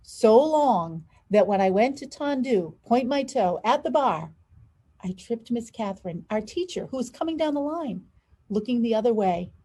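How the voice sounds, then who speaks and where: A woman with a high but very soft-edged, mellow voice reads a children's book aloud. Her storytelling is expressive, leaning on key phrases as an educator would. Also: normal speed, slight background noise. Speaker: school superintendent reading aloud.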